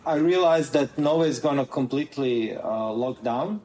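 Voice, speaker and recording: A man's voice: medium pitch, moderate pace, warm tone. Earnest Croatian-accented man, roomy sound. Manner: earnest, lays out points in order. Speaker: guest. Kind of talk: conversational interview.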